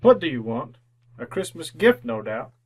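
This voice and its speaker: Guy acting as grumbly old skinflint man, snappy and bitter, kinda high voice, old radio play. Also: sing-song, normal speed, gravelly, heavily acted. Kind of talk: re-created old-time radio drama acting.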